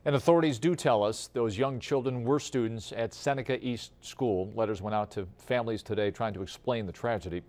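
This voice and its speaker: A man's voice: mid-range voice, brisk, few pauses, a little mellow. A man with an American accent reading scripted local television news as an anchor.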